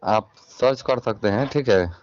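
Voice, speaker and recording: A man's voice: mid-range voice, unhurried, a little mellow, dry recording. A man with a Bihari accent speaking as a channel reporter on a recorded phone call, questioning and admonishing in an insistent way. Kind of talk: confrontational phone interview.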